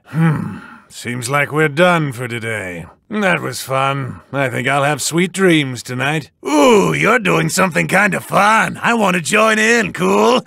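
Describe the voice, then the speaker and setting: Video game destroyer god, cat-like and smugly superior. Theatrical, highish male voice with a gravelly creak, toying condescendingly with opponents. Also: pushed and bright, no room sound, studio quality, exaggerated voice. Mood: pleased, languid. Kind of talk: video game fighting voice lines.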